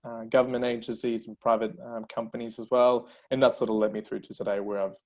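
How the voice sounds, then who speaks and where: Colombian-born guy, spanish native, 30s, rattles on quick, lots of fillers. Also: medium pitch. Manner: energetic storyteller, many fillers. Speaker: guest. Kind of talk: casual interview talk.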